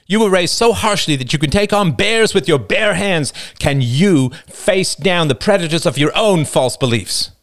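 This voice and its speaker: A man's voice: on the high side, a bit bright, voice pushed up. A man voicing an impassioned male speaker who lectures and accuses a rival in a story. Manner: righteous, accusing, preachy.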